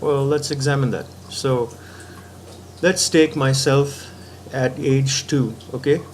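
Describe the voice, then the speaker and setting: A man's voice: mid-range voice, fairly even intonation, slow, slight background noise. A man giving a patient philosophical talk, reasoning step by step and often checking in with the listener. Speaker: spiritual teacher.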